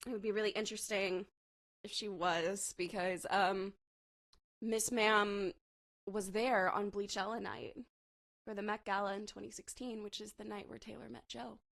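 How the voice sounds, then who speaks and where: Woman, young adult, from Texas, bright sharp voice, excitable fan chatter. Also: mid-range voice, moderate pace, vocal fry. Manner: excitable, gushing fan talk with laughs. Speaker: podcast co-host. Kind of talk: casual chat.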